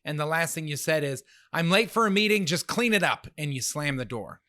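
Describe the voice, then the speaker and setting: A man with a slightly nasal voice co-hosting a casual talk show, animated and laughing often. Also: a little high, brisk, no echo. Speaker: podcast co-host. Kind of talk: casual chat.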